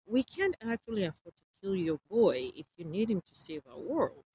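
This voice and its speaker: A woman's voice: mid-range voice, very animated, normal speed, warm tone, breathy edge, rough recording. A woman reading aloud as a menacing man who talks in a harsh, commanding way. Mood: explaining. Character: menacing man taking charge, scolding.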